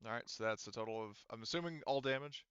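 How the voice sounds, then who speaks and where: A man's voice: mid-range voice, quick pace, background noise. A man running a casual tabletop game as game master, informal and prone to swearing, on slightly muffled audio. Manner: informal, profane.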